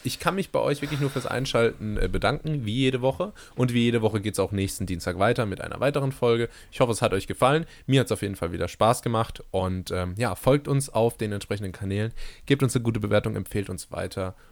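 A man's voice: lowish voice, fairly quick, vocal fry, no echo. A young man co-hosting a podcast, chatting casually and cracking jokes. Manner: joking, plays guitar and sings snippets. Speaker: podcast co-host. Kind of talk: casual chat.

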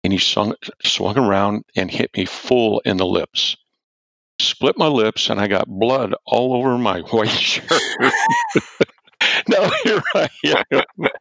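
Gravelly older man telling a story loudly. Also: on the low side, slow pace, no room sound. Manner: loud, animated storyteller who chuckles. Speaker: guest, former football coach. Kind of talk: storytelling in a podcast-style interview. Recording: dull, dry lo-fi audio.

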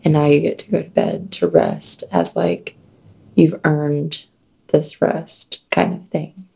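A woman with a scratchy, deep voice, chatting casually and slowly reading an oracle card aloud. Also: big pitch swings, gravelly, husky. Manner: slow, dreamy reading with soft laughs.

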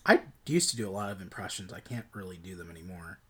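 A young man co-hosting a casual film podcast, his voice swinging between high and deep as he talks loudly. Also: fast talker, breathy edge. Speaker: podcast co-host.

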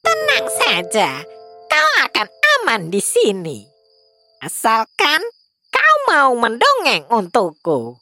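A theatrical old witch from a children's storybook. The voice is high and sharp, swooping wildly as it demands and threatens. She sounds impatient and menacing. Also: huge pitch swings, slow pace, no room sound, faint music behind, exaggerated voice. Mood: coaxing.

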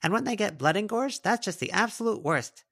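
Man putting on a high, cheeky critter girl. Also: lively intonation, a little fast, a bit bright, exaggerated voice.

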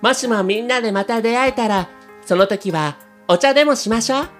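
A man's voice: very high voice, brisk, a bit bright, soft background music, pitched above the performer's own voice. A man playing an earnest, brave heroine who leads her comrades in an amateur radio drama. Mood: tender, wistful.

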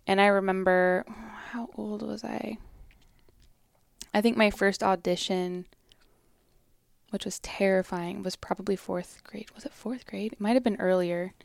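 Soft-voiced, creaky woman musician guest. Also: medium pitch, steady tone, measured pace, dry recording, clean studio sound. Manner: hesitant, many fillers and restarts. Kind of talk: casual interview chat.

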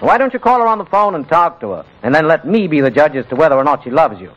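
Pompous, high-pitched lovelorn counselor. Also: male voice, very animated, a bit bright. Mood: solemn. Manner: solemn, paternal, measured. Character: pompous, soothing male lovelorn advice counselor. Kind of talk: 1940s radio comedy acting. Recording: muffled 1940s radio comedy broadcast.

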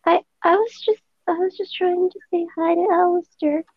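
A woman's voice: very high-pitched, fairly even intonation, a little fast, a little hiss or hum. A woman voicing a shy, flustered young female newcomer who stammers and hesitates in an improvised roleplay. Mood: flustered, stammering. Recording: dull lo-fi microphone.

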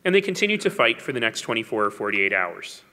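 A man's voice: lowish voice, big pitch swings, quick pace, sharp tone. A man giving a public speech as a mayor, telling stories with wry asides and emphasis. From Canada. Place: large hall. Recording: long hall reverb.

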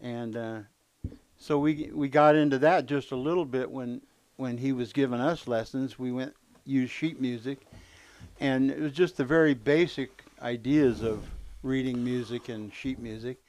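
A man's voice: mid-range voice, fairly even intonation, a little slow, a little mellow. A man in his seventies, a musician casually reminiscing in an interview. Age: 70s.

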